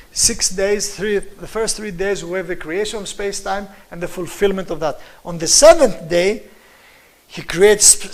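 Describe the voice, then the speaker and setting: A middle-aged man with a Greek accent giving a religious lecture on scripture in halting English, emphatically repeating key words. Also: high-pitched, low-quality recording. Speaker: Bible teacher giving a presentation.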